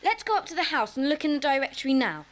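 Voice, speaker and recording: Excitable, high-voiced child sleuth. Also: female voice, quick pace. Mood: eager. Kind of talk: children's audio dramatisation. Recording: hissy old cassette recording.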